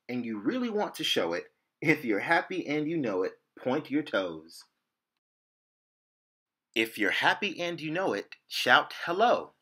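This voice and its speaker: A man's voice: on the high side, sharp tone. A man in his thirties reading a picture book aloud to children in a sing-song, rhythmic way, in an indoor room, recorded by a camera a few feet away. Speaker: storytime reader.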